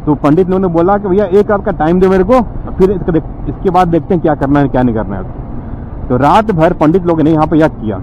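A man, talking to viewers in a relaxed, chatty way as he rides his motorbike on a road trip. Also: on the high side, quick pace. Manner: chatty, addresses viewers as bhaiya. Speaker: motovlogger. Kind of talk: casual road-trip commentary. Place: outdoors on a highway, riding a motorbike. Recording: noisy road and traffic outdoors.

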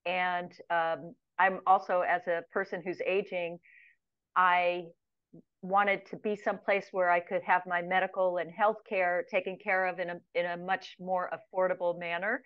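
A woman's voice: fairly low pitch, flat delivery, normal speed, clean tone, no echo. Chatty woman in her sixties on a video call. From United States. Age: 60s. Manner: chatty, storytelling, with frequent ums and restarts. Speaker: guest, retired expatriate. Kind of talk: casual interview chat. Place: home room with plants, indoors. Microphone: video call webcam. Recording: muffled video call audio.

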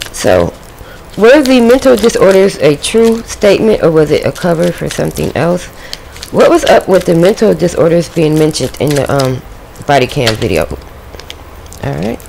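Lively, gravelly-voiced woman reading tarot. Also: sits low, slight background noise, rough recording. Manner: chatty, talks to spirit and viewers. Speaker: tarot reader. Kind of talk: casual tarot reading to camera. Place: indoors at a home table.

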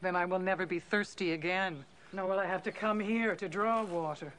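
Actress doing wary middle aged village woman in a bible movie, blunt, questioning, lowish voice, little bit of noise behind. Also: fairly even intonation, moderate pace, a bit bright, lightly acted. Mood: subdued, wary. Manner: direct, questioning. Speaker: film actor. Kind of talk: biblical film acting. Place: outdoors, film location. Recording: film soundtrack with outdoor ambient noise.

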